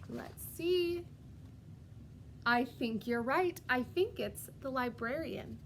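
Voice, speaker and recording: A woman reading a storybook aloud as a preschool teacher, expressive and sing-song, pausing to put questions directly to young children. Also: sits high, a little hiss or hum.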